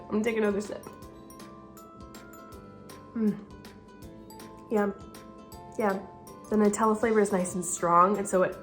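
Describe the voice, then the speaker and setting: A young woman vlogging casually from home, her voice raspy and hoarse from being sick, chatty and upbeat. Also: medium pitch. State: hoarse from illness. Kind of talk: casual recipe vlog. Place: living room at home, indoors.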